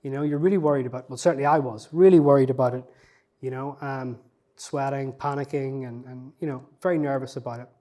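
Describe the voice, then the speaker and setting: A man speaks as an interview guest, thinking aloud in an unassuming, candid way. He often restarts phrases and leans on conversational fillers. His voice sounds warm and relaxed, sitting at an ordinary pitch. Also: fast talker. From not from Newcastle. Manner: reflective, self-effacing, many "you know" fillers and restarts.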